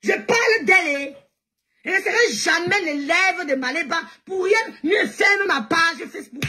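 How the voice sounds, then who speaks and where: High-voiced woman ranting, mocking and shouting. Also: animated, moderate pace. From Cameroon. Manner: mocking, laughing, often shouting. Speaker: live-stream host. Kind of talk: heated live-stream rant.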